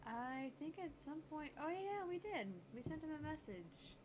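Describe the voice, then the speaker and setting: A woman's voice: sits high, expressive, noisy background. A woman co-hosting a game stream, chatting casually and laughing often. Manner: giggly, quick to laugh. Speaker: co-host playing a visual novel. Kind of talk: casual banter while playing.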